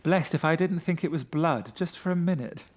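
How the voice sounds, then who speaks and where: A man's voice: fairly high pitch, normal speed, voice pushed up, lightly acted. A man voicing a nervous, childlike, frightened man who stammers and pleads in a gothic horror story. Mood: anxious. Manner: pleading, stammering, repeats himself. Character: terrified nervous man, childlike in his fear of the dark.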